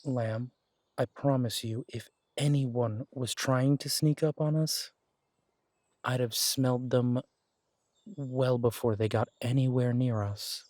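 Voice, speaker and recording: A man voicing a protective male werewolf detective in an audio drama, speaking in a gentle, reassuring, unhurried way. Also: medium pitch, fairly even intonation, close to an everyday voice. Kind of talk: romantic audio drama roleplay.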